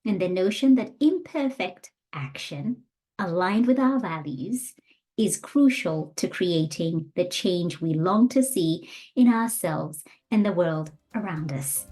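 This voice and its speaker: A woman in her thirties hosting a podcast, reading a guest introduction and then asking questions in a measured, encouraging way. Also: fairly low pitch, lively intonation, dark tone. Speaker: podcast host. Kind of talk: scripted intro, then interview.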